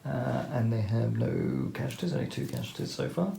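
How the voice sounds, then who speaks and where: A man's voice: mid-range voice, very animated, moderate pace, creaky, mellow, reverberant, a little hiss or hum. A man methodically explaining each step of a tabletop wargame turn, talking through the rules as he plays. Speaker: wargame player explaining turns. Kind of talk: tabletop game commentary.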